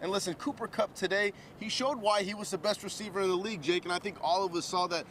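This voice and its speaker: An emphatic American man with a high voice gives conversational sports commentary on a live report. A faint outdoor rumble sits behind him. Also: fast, a bit bright. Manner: emphatic, punctuates with "listen".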